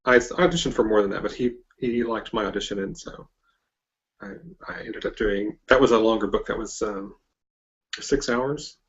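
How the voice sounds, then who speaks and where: A man's voice: on the low side, moderate pace. American man hosting, slightly lo-fi sound. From southern US or Mexico border region (Texas, Oklahoma area). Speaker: podcast host and interviewer. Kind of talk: casual interview chat.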